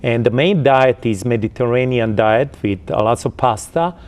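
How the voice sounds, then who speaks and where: A man in his fifties from Croatia with a Croatian accent, answering interview questions in a halting way with many fillers. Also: mid-range voice, darkish tone. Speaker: interview guest.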